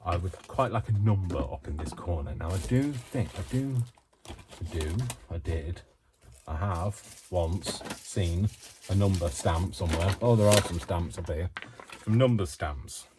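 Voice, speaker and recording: A British man from the UK chatting through a crafting tutorial at home, rambling, self-deprecating and quick to giggle. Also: deep voice, noisy background. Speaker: craft vlogger.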